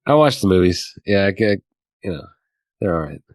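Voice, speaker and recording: A man co-hosting a podcast in casual discussion, speaking haltingly and often repeating words. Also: sits low, sing-song, no room sound, clean studio sound. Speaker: podcast co-host.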